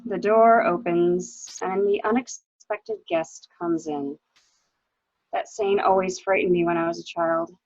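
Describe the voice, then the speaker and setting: A woman playing a cool, weary, bitter wife in a murder mystery reading, with a wry, deliberate, dramatic delivery on a lo-fi recording. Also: mid-range voice, big pitch swings, gravelly, darkish tone, dry recording, heavily acted. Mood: brooding. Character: cool, weary, bitter wife who shot her husband. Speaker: actor in an amateur library reading.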